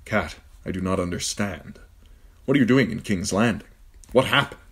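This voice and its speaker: A man's voice: sits low, moderate pace, fairly bright tone, slightly rough, exaggerated voice. A man voicing a stern, honorable male lord who talks bluntly and earnestly.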